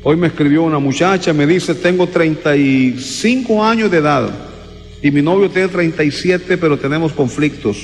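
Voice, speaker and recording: A man's voice: a little high, moderate pace. A man preaching a sermon to a congregation, emphatic and repeating words for stress. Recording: long reverb in a hall, faint music.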